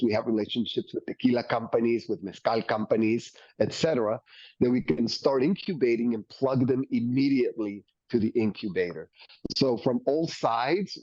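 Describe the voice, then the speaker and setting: A man's voice: mid-range voice, a little mellow, no echo. Mexican-accented businessman, dull dry audio. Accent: Mexican Spanish. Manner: enthusiastic, repeats words while building points. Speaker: beverage company executive, interview guest. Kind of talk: business interview, pitching plans.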